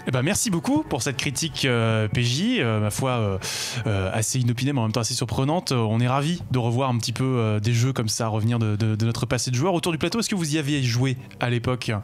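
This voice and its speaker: A man's voice: mid-range voice, animated, quick pace, few pauses, faint music behind. A man hosting a studio panel, thanking guests and passing the turn with hesitant fillers. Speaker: host. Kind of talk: casual panel chat. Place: studio with headsets.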